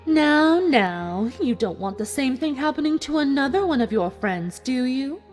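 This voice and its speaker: A woman's voice: high-pitched, lively intonation, a little slow, a little mellow, voice pushed up. A woman voicing a smug, cruel female unicorn villain in a fan fiction reading, with a taunting, mock-polite manner. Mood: cold, menacing. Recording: long hall reverb, music behind.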